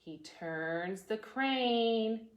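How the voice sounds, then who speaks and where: A woman's voice: a little high, animated, extremely slow. A woman hosting a preschool storytime, reading a picture book aloud in a sing-song way and putting questions to young listeners. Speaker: children's librarian, storytime host. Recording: roomy, echoey indoor sound.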